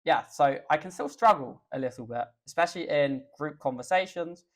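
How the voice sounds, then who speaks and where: A young Englishman with an English accent, a guest chatting in a podcast interview, relaxed and thoughtful with the odd um. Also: fairly high pitch, moderate pace. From England. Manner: relaxed, thoughtful, with filler ums. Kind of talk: casual interview chat.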